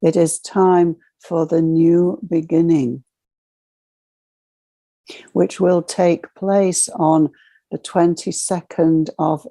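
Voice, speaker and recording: A woman guides a spiritual meditation in a mellow voice with a gravelly edge. She speaks in solemn, measured phrases, like a formal ceremonial address. The sound is close and dry. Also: sits low, no room sound. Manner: slow, measured, ceremonial delivery in short phrases.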